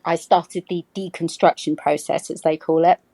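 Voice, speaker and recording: A woman's voice: low voice, steady tone, moderate pace, gravelly, warm tone, no echo. A woman, from the UK, leaving a rambling recorded voicemail as a caller, with many ums. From United Kingdom.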